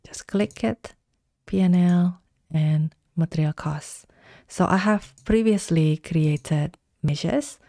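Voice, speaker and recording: A woman talks through a software tutorial in calm, step-by-step instructions. Her voice is mellow and low, unhurried, recorded dry and close. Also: no echo. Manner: calm step-by-step instructions, slow deliberate pacing.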